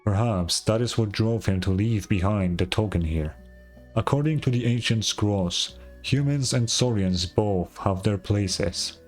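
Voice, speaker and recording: Give me a man playing an earnest scholarly game guide, slow, formal and courteous, over music. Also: fairly low pitch, a little mellow, lightly acted. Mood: reverent. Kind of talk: video game voice acting.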